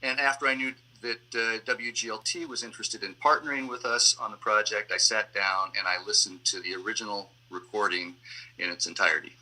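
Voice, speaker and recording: Male theater director as interview guest, fairly low voice with a sharp bright edge, thinking aloud haltingly. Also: fairly even intonation, poor audio. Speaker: theater artistic director and actor, panel guest. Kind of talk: answering interview questions conversationally. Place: online video call. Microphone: video call microphone.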